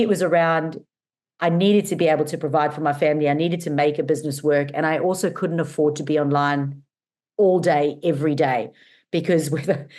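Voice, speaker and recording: A middle-aged woman with a mixed South African and Australian accent, talking as an interview guest. Her voice sits low for a woman. She tells her story in an animated, chatty way, full of fillers. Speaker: guest, business owner. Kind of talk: casual interview chat.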